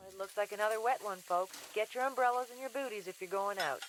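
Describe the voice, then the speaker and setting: A man's voice: high voice, fast, fairly bright tone, soft background music. An actor playing a chatty, folksy male radio weather forecaster, heard from a distant, hissy radio. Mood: breezy.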